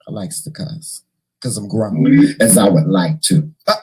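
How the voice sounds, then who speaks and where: A hoarse man hosting a casual morning livestream, chatting and teasing his viewers in a folksy way. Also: deep voice, unhurried, a little mellow. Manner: folksy, teasing, talks to the chat; repeats words and restarts often. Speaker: morning show host.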